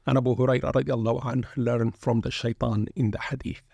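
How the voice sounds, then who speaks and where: Male shaykh, gravelly and level, preacherly podcast guest on a dry mic. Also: medium pitch, little pitch movement, fast talker, darkish tone, no room sound. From born in England, raised in Sudan. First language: Arabic. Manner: earnest, preacherly, peppered with Arabic phrases. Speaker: guest shaykh, pilgrimage group leader. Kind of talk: reflective religious podcast talk.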